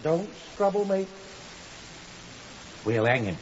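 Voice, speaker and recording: An actor playing a deferential, slightly flustered attendant in a 1950s radio drama. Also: male voice, fairly high pitch, big pitch swings, takes it slowly. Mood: firm, cautioning. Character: deferential, flustered English male attendant, chummy and excitable. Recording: muffled, hissy 1950s radio recording.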